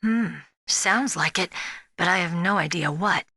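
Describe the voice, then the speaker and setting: An actress playing a spirited, earnest young rookie soldier in a video game, speaking in a frank, expressive way. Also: female voice, fairly low pitch, measured pace, dry recording, studio quality. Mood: concerned, alert. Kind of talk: dramatic video game voice acting.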